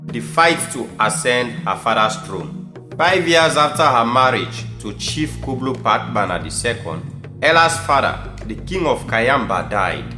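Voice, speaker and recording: A man's voice: medium pitch, sing-song, unhurried. A man with a Sierra Leonean accent giving scripted narration for a historical audio drama. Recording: echoey room under clear music.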